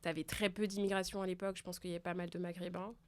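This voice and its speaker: A woman's voice: on the low side, level tone, very fast. A woman in her thirties from France, telling her story frankly on a podcast. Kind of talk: casual round-table chat.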